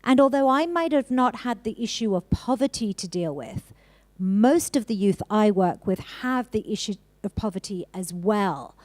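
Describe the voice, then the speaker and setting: A woman from Hong Kong speaking on a panel, telling her story in an earnest, measured way with emphatic pauses. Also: mid-range voice, expressive, a little mellow, no echo. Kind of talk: panel discussion.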